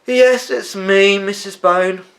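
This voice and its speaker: A man's voice: high voice, steady tone, slow pace, creaky, a bit bright, pitched above the performer's own voice, exaggerated voice. A man voicing a small, nervous schoolboy with a plaintive manner in a children's storybook. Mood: resigned. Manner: anxious, plaintive. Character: small anxious nervous schoolboy, eager friend.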